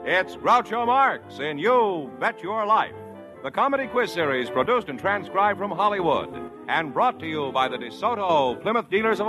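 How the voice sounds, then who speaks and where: A man reading formal announcements for an old 1950s radio quiz show, with a declaiming delivery. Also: high voice. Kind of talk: booming announcer read.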